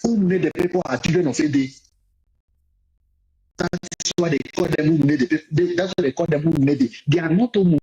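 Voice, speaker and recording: A man's voice: a little high, normal speed, dark tone, a little hiss or hum, poor audio. A man making an impassioned, insistent speech, repeating his points for emphasis, heard as a played clip.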